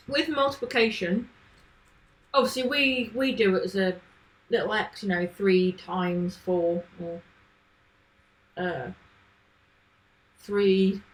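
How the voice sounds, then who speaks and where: A woman's voice: medium pitch, rough recording. A woman chatting casually on a live coding stream, thinking aloud and answering questions from chat. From not from Manchester. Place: home, living in Manchester.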